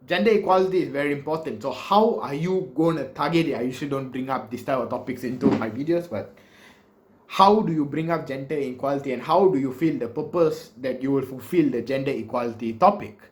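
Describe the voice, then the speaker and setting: A man's voice: mid-range voice, brisk. A man in his twenties with a Malaysian English accent, giving a casual, joking speech-writing lesson from a small room, gesturing a lot and drifting off topic. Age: 20s. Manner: animated, joking, big hand gestures, wanders off topic. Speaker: speech coach. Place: small bedroom with bookshelves, indoors.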